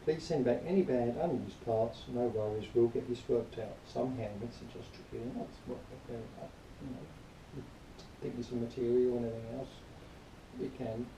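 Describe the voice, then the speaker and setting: A man giving an informal spoken review in a rambling, matter-of-fact, grumbling way, partly reading aloud, recorded quietly on a camera some distance away. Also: mid-range voice, steady tone, normal speed. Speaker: product reviewer. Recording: distant quiet mic, roomy echo, faint noise.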